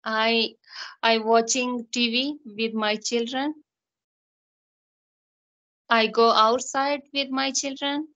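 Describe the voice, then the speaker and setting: A woman in her thirties with an Afghan accent speaks slowly in a fairly high voice. She gives halting, simple beginner answers as a test candidate, over muffled call audio. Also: fairly even intonation, a bit bright. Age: 30s. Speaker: English learner, test candidate. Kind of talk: answering practice test questions. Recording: dull, lo-fi online call audio.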